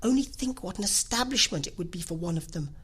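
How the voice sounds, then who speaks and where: Woman playing storybook mother, middle-aged, excitable, nagging, self-pitying, emphatic, lively swoops, husky edge. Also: lowish voice, fast. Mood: exasperated, insistent. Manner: emphatic, exclamatory, complaining.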